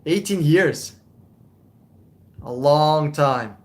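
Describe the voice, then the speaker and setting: Young man, slightly high voice; casual, blunt live-stream car advisor. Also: lively intonation, very slow. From Russia. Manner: casual, blunt, swears, chuckles often. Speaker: car buying advisor, livestream host.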